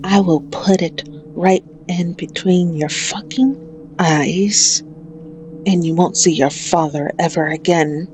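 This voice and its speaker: A woman's voice: lowish voice, fairly even intonation, slow, a little mellow, a little hiss or hum. A woman playing a possessive, manipulative female mafia boss in an ASMR audio roleplay, menacing and taunting with a mock-tender manner. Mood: cold threat.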